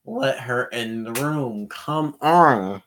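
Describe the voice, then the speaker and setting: Slow-paced, muttering game streamer. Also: mid-range voice, expressive, fairly bright tone. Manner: muttering to self, frequent swearing. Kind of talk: casual livestream gameplay commentary.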